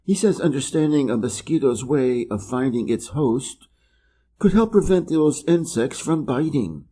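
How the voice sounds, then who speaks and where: Midwest american guy reading slow for english learners, real dark warm voice, kinda husky. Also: medium pitch, expressive. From Wisconsin, USA. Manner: measured and deliberate, clearly pronounced. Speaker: reader of an English learning channel. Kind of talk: slow, careful reading aloud.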